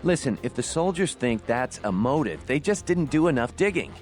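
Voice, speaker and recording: A man's voice: medium pitch, very animated, normal speed, darkish tone, faint music behind, lightly acted. A man playing an amiable, hard-drinking cantina card player in a video game, chatty and colourful. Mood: animated, fond. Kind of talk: video game character dialogue.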